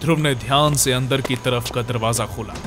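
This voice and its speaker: A man's voice: medium pitch, moderate pace, over music. A man narrating a scripted horror story.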